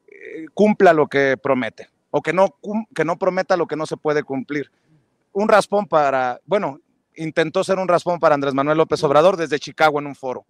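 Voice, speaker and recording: A Mexican man in his forties hosts a live interview on a crowded street. He asks long, meandering questions full of fillers in a slightly high voice. The sound is close and dry. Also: no echo. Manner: chatty, rambling questions with fillers. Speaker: interviewer, host. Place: busy city street outdoors with a crowd. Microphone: earbuds, handheld mic.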